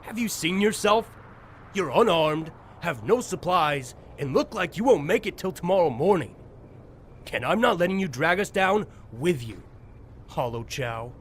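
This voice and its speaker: Man acting high-voiced, snarky young hothead. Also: expressive, measured pace. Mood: snide, threatening. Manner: snarky, emphatic. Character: hot-headed, sarcastic young male zombie-apocalypse survivor. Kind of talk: amateur audio drama acting. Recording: faint background noise.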